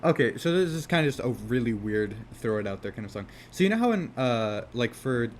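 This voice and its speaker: A man casually chatting on a game development live stream, rambling and swearing easily, with a somewhat monotone voice. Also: medium pitch, brisk, a little hiss or hum. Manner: rambling, laid-back, swears casually, frequent "like" fillers.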